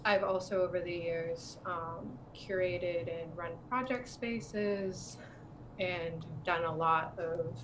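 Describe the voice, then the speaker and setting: A woman's voice: lowish voice, fairly even intonation, slow pace. A woman introducing herself as a guest artist on a video call, hesitant and full of fillers. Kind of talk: self-introduction on a streamed salon. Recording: noisy video call audio.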